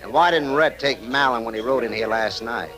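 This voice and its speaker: An American actor playing a sly, menacing saloon owner in an old-time radio western, talking in a smooth, taunting manner. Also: male voice, medium pitch, fairly quick, lightly acted, rough recording. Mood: scornful. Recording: vintage radio drama with music.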